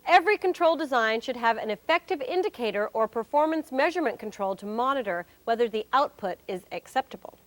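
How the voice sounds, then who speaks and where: A woman's voice: on the high side, animated, brisk, hardly any pauses, natural voice. A woman acting as a wry, cheerful presenter, giving scripted instruction in a corporate training film. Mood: instructive, playful.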